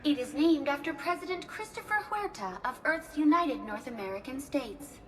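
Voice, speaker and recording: A high-pitched female hospital receptionist from a video game, with a lively, swooping melody. She is polite and efficient, laying out procedures in formal, precise phrasing. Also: moderate pace. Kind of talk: scripted informational game dialogue.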